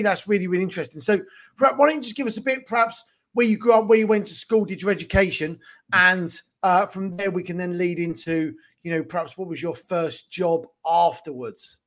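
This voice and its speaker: A man hosting a podcast interview, enthusiastic and rambling, often laughing. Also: sits high. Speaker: podcast host. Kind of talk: conversational interviewing.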